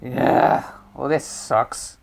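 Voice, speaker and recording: A woman's voice: rumbling low, drawn-out words, vocal fry, full character voice. A woman voicing the ghost of a murdered young male writer, complaining indignantly, heard from a distance. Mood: aggrieved.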